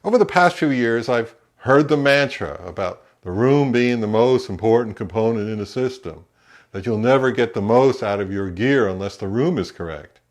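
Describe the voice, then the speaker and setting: A middle-aged man narrating a hi-fi vlog in a conversational, measured, explanatory way. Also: medium pitch, animated, echoey room. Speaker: hi-fi YouTuber. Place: indoors in a home room.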